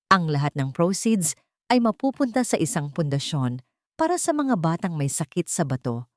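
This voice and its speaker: Lively, dramatic woman storyteller. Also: lowish voice, no room sound, clean studio sound. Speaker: story narrator. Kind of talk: scripted dramatic narration.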